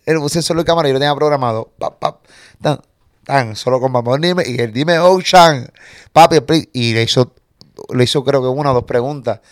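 A man from Puerto Rico in his forties with a Puerto Rican accent, a comedian chatting casually in an interview and telling stories quickly, with some swearing. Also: medium pitch, no echo, clean studio sound. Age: 40s. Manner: animated, swearing, quick storytelling. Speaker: comedian guest.

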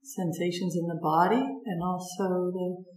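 A woman leading a guided meditation, giving gentle instructions in unhurried phrases with long pauses. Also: lowish voice, level tone, echoey room, rough recording.

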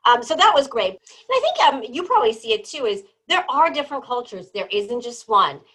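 A woman who grew up in New Jersey speaks as a guest in a relaxed interview. Her voice is bright and forward, and she talks emphatically, swinging her pitch and peppering her speech with "you know". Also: brisk, slightly rough. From New Jersey, born in Iran. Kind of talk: casual interview conversation.

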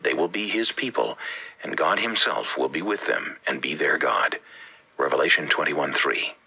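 Gravelly Bahamian man preaching. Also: very deep voice, moderate pace, pushed and bright, poor audio. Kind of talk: read-aloud religious teaching.

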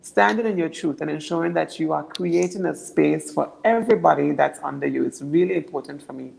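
A young woman from Anguilla with a naturally deep voice, answering interview questions earnestly and often repeating words as she builds her points. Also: fairly quick, gravelly, darkish tone, a little hiss or hum, poor audio. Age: young adult. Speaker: political candidate.

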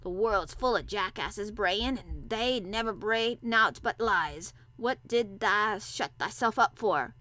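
A woman voicing a gruff old Yorkshire gardener. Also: mid-range voice, sharp tone, poor audio. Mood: dry, blunt. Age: older. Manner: gruff, dry, slow and emphatic. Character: crabbed, dry, loyal old Yorkshire gardener man.

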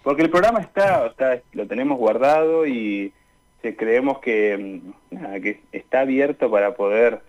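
A young Argentine man answering questions in a conversational radio interview, speaking in a hesitant, halting way. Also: medium pitch, animated. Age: young adult. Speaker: interview guest, student radio drama maker. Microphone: remote call into a radio show. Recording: dull, lo-fi call-in sound.

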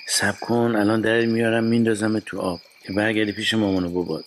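A man's voice: on the low side, flat delivery, slight background noise, close to an everyday voice. An actor playing a kind, worried fisherman father in a children's radio play. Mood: gentle scolding.

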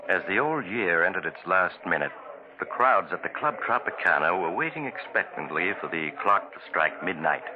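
An actor playing a mysterious, suave host of thriller tales, narrating deliberately and theatrically in 1940s radio style. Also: male voice, sits low, very animated, a crowd in the background. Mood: suspenseful narration. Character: mysterious traveler, ominous genial male host of thriller tales. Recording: noisy, muffled 1940s radio recording.

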